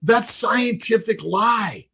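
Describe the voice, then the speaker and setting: A male host with a high voice full of gravel and rasp. He talks emphatically, preaching his point with conviction. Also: animated, takes it slowly, bright, forceful tone. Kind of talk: casual conversation.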